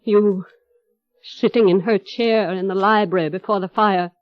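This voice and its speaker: An actress playing an obsessive, menacing housekeeper in a radio drama, speaking slowly and insinuatingly. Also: female voice, mid-range voice, fairly even intonation, no echo, close to an everyday voice. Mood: menacing, scornful. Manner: slow, insinuating, deliberate. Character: obsessive, menacing housekeeper devoted to her dead mistress. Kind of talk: 1930s radio drama acting. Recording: muffled old radio recording with music.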